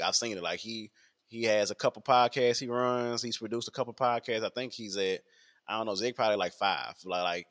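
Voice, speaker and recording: A man's voice: fairly low pitch, a bit bright. A man, talking in a rambling casual podcast monologue full of fillers and repeats. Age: 20s.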